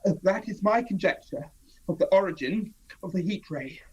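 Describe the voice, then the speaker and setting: A man playing a cautious scientist professor who speaks slowly and deliberately, in a 1930s-style radio drama. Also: high-pitched, animated, a little mellow, slightly rough, muffled recording, full character voice. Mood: measured. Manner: slow, deliberate, weighing each word.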